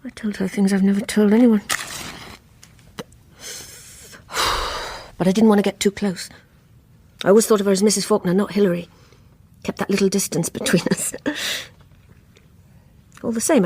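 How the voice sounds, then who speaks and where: An actress playing a guarded, troubled middle-aged English woman, telling her story haltingly and reflectively in a radio drama. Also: mid-range voice, steady tone, dark tone, breathy edge, dry recording. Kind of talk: first-person radio drama narration.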